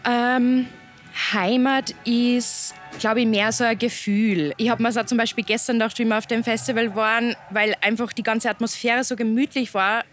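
A young woman from Upper Austria with an Upper Austrian accent, speaking as a musician guest in a relaxed interview, chatty and loose. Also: a little high, moderate pace, soft background music. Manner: chatty, loose, laughs between thoughts.

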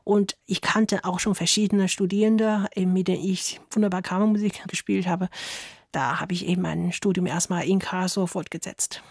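A woman's voice: lowish voice, steady tone, normal speed, no room sound, studio quality. Thoughtful woman violinist. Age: 30s. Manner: thoughtful, laughs softly, slight grammar slips. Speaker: violinist guest. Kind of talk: radio interview answers. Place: radio studio.

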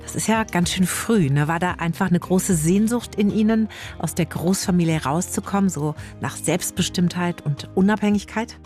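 A woman's voice: lowish voice, moderate pace, hardly any pauses, a little mellow, faint music behind. A woman hosting an interview in a conversational style, putting questions to her guests. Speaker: interviewer, host.

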